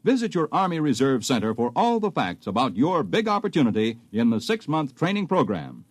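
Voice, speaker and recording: 1950s commercial voice, upbeat and persuasive, lively, close and dry. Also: male voice, medium pitch, brisk, no echo. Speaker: commercial announcer. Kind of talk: 1950s radio ad read.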